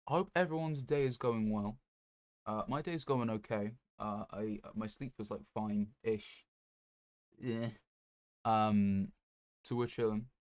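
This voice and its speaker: A man chatting casually on a gaming livestream in a low-key, rambling, hesitant way. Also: dry recording. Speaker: video game streamer.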